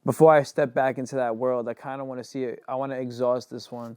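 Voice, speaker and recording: Young rapper guy chatting about his songs, lots of "like", smooth voice. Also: mid-range voice, level tone, quick pace, dark tone, no echo, studio quality. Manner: loose and rambling, heavy on "like". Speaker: rapper and singer. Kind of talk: casual interview.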